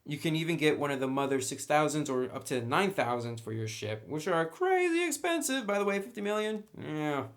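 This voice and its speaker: A man's voice: on the high side, very animated, a little fast, few pauses. A man giving a casual, unscripted review as he browses through a book, talking conversationally through each item.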